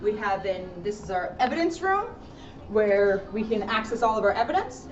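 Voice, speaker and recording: A woman's voice: medium pitch, very animated, normal speed. A woman police officer giving an informal guided tour, explaining plainly and addressing viewers directly, recorded indoors from a distance. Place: indoor rooms and hallways of a police station. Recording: roomy, muffled, noisy, distant camera microphone.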